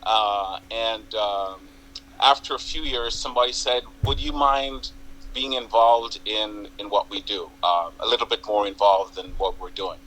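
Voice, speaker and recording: An older man with a Jamaican accent, a community group president telling his story in a measured, halting way as a TV interview guest. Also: lowish voice, sharp tone, poor audio. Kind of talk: interview on local TV.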